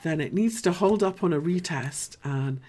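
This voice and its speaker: A woman with a deep, low voice hosts a live market analysis stream. She is chatty and informal and thinks aloud as she works through each point. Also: normal speed, darkish tone. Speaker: host.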